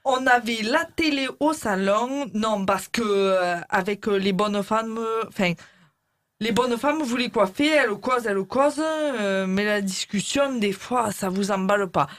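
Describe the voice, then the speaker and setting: A woman's voice: medium pitch, measured pace, lightly acted. A woman playing a chatty, bawdy beautician in a mock interview, rambling with fillers and cheeky jokes. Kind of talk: comedy sketch, mock interview.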